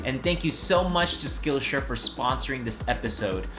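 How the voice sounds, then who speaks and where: Lively narrator over background music. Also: mid-range voice, moderate pace. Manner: polished, inviting presenter delivery. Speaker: show narrator. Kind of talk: scripted narration.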